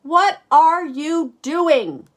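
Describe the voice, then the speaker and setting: A woman's voice: high-pitched, lively intonation, drawn-out words, pitched above the performer's own voice, heavily acted. A woman voicing a proud, fussy male bearded dragon painter in a storybook, speaking in a haughty, exacting, emphatic way. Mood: outraged.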